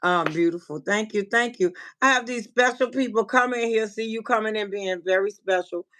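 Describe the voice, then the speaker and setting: Woman, livestream host, bright voice, chatty, repetitive restarts, casual chat, from Minnesota. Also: mid-range voice, normal speed. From Minnesota, USA. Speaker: livestream host and life coach.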